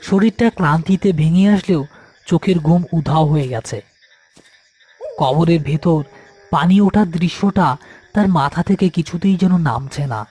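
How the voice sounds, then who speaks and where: A man's voice: a little high, normal speed, mellow. A man reading a scripted horror story as its narrator.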